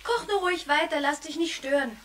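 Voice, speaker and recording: A woman's voice: sits high, fairly quick, close to an everyday voice. An actress playing a chatty, precocious, well-off little girl in a 1960s German children's radio play. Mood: curious, breezy. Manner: precocious, breezy, theatrically polite. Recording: noisy 1960s vinyl record.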